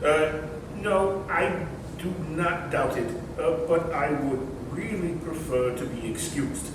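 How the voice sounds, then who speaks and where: Timid, proper uncle in a stage comedy played by a man, halting and slow, distant roomy recording. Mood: nervous, reluctant. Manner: hesitant, formal, halting. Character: timid, reluctant, proper uncle who dreads babies. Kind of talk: stage readers' theater acting. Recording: roomy, distant microphone with rumbling noise.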